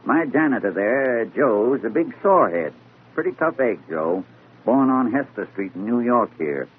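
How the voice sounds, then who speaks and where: An actor playing a folksy apartment tenant in old radio drama, colloquial, hesitant and grumbling. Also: male voice, medium pitch, very animated, normal speed, husky. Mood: grumbling, sheepish. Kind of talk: 1940s radio acting. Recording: narrow-band, hissy old radio recording.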